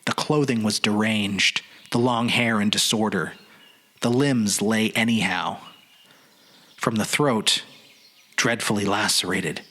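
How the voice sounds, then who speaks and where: A man giving a suspenseful dramatic reading of a horror story, with deliberate phrasing and pauses. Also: lowish voice, bright, forceful tone. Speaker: podcast narrator.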